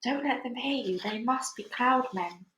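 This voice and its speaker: A cautious young boy from a children's storybook, read by a woman. He speaks in a hushed but urgent way. The voice rings in an echoey room. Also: on the high side, unhurried, a little hiss or hum. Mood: hushed caution.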